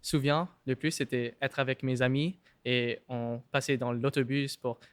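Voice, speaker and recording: A young man whose first language is English talks in French with an English accent, pausing often and borrowing English words. His voice is clear and smooth at a middle pitch, recorded dry and close. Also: fairly even intonation, dry recording. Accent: English-speaking. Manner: halting, mixes in English words. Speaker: podcast guest. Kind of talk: casual interview.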